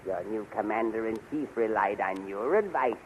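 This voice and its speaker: An actor playing a scheming older majordomo, formal and obsequious, in a 1930s radio serial. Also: male voice, on the low side, expressive, moderate pace, lightly acted. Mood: insinuating. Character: scheming, flattering older male courtier and majordomo. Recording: noisy 1930s radio recording.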